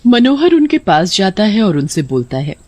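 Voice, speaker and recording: Woman narrator, medium pitch, dry close sound, scripted moral-story narration. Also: big pitch swings, normal speed, darkish tone, no room sound.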